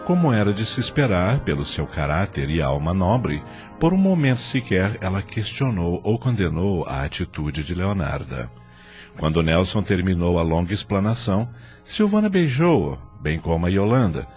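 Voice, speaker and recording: A man's voice: low-pitched, very animated, moderate pace, a little mellow, soft background music. A man with a Brazilian accent reading dramatic scripted narration for an audio novel in a solemn, literary, sentimental manner.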